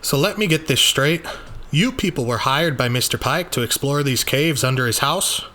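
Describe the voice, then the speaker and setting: Man acting a blustering police chief, pitched up. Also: expressive, moderate pace, bright, forceful tone. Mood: skeptical. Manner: blustering, condescending. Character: puffed-up, brusque, skeptical small-town police chief, male. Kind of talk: dramatized audio journal, character dialogue.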